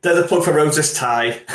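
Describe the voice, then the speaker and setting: A man's voice: a little high, a bit bright. Upbeat male host in a roomy space. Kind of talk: career interview. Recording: roomy echo.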